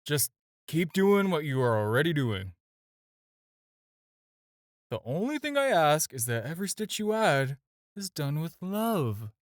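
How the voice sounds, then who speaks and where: A man's voice: a little high, sing-song, unhurried, long pauses, dry recording, clean studio sound. A man acting a flirty, self-assured supervillain in an audio roleplay, talking to the listener in a teasing, casual, conversational way. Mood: teasing, earnest. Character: flirty, smooth, self-assured male supervillain courting his tailor.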